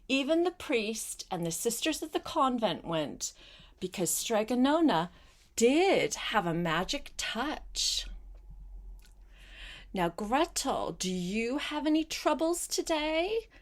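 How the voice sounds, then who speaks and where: An older woman reading a storybook aloud as a grandmother, expressive and often turning to speak directly to a child. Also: fairly high pitch, takes it slowly.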